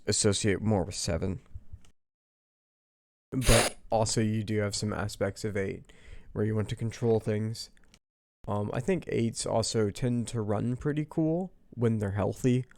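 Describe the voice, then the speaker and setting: A man's voice: lowish voice, gravelly, darkish tone, no echo. A man hosting a casual typing session, tentative and hesitant as he thinks aloud. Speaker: host of a personality typing session. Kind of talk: casual chat.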